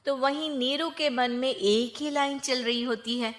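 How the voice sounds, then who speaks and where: A woman's voice: high voice, steady tone, unhurried. A woman reading a scripted family story as its narrator.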